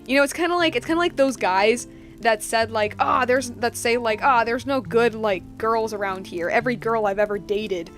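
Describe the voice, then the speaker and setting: High-voiced young woman streaming a game. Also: normal speed, faint music behind. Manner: animated, slangy, rambling. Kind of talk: casual live gameplay reaction.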